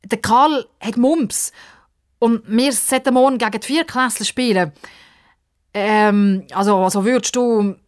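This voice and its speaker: A woman's voice: fairly high pitch, a little slow, pitched above the performer's own voice, natural voice. A woman voicing an awkward, sheepish chubby schoolboy who blurts and stammers in a children's audio story. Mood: urgent.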